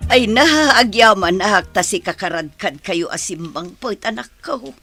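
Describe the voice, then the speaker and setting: A woman playing an anxious, devout old mother in a radio drama, with a husky voice. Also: mid-range voice, lively intonation, moderate pace, pushed and bright, soft background music. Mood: anxious relief. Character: anxious, devout old village mother fussing over her son.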